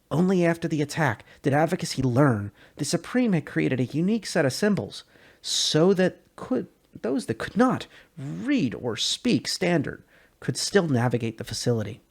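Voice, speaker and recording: A man hosting a video on game lore, presenting it in a measured, deliberate way. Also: fairly high pitch, creaky. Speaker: host reading game lore and giving analysis. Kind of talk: dramatic read of game lore, then casual analysis.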